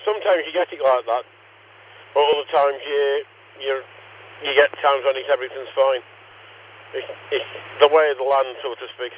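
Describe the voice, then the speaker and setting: Deep-voiced man over a tinny radio link. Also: normal speed, pauses often, a little hiss or hum. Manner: relaxed, rambling, repeats his call sign. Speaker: amateur radio operator. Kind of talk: casual radio chat. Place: parked car.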